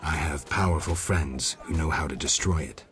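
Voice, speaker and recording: A stoic monster hunter for hire in a fantasy game. The voice is extremely low, and the lines come out terse and deadpan, with a world-weary flatness. Faint music plays underneath. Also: male voice, moderate pace. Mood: terse, calm. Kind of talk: video game dialogue acting.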